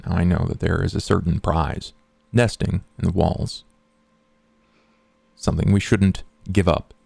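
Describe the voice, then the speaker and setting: A man acts out a courteous schemer with a low, heavily creaking voice. He talks business in formal, measured phrases, suave and wordy, in a roleplay podcast. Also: steady tone, darkish tone, no echo, exaggerated voice. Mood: calculating. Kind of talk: in-character tabletop roleplay.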